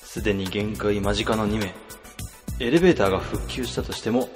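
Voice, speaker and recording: A man's voice: fairly low pitch, fairly quick, long reverb tail, soft background music, natural voice. A man voicing a cunning male office worker in a comedy radio drama, narrating his schemes in intense inner monologue. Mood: scheming.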